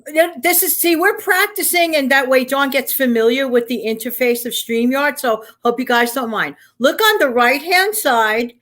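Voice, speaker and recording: A woman with a New York accent co-hosts a casual live stream, lively and full of instructions. Her voice sits high and sounds bright. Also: normal speed, hardly any pauses. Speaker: co-host.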